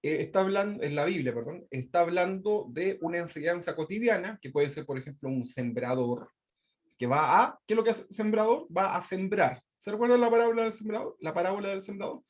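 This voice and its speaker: A man's voice: high-pitched, a little fast. A man with a Chilean accent teaching an online Bible class. He repeats his points and keeps checking in with "¿verdad?". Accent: Chilean Spanish. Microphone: video call.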